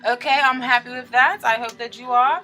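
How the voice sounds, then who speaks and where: A woman's voice: a little high, fairly even intonation, a little fast, sharp tone, faint music behind. A woman hosting a tarot reading in a casual, chatty way, informal and playful with rambling asides and mild swearing.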